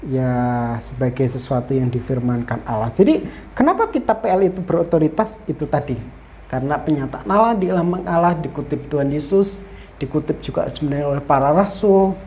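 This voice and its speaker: Low-pitched, mellow woman teaching. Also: animated, a little fast, slight background noise. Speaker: Bible teacher. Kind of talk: informal lecture explaining Old Testament introduction.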